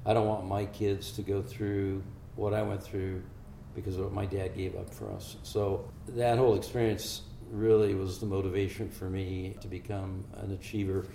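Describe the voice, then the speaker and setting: An older man with a low, gravelly, dark voice explains things in a measured, steady way. He sounds like a physician answering interview questions in an echoey room with faint ambience behind him. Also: slight background noise. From Hungary. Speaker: physician guest.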